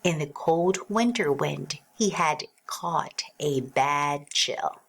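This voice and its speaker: A woman reading a rhyming children's storybook aloud as narrator, in an expressive sing-song way. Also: low-pitched, takes it slowly, gravelly, breathy edge.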